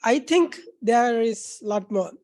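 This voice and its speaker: A woman's voice: on the high side, lively intonation, a little slow, darkish tone. A woman from Sri Lanka, a doctor on a panel earnestly explaining answers to audience questions. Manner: earnest, explanatory, lists points.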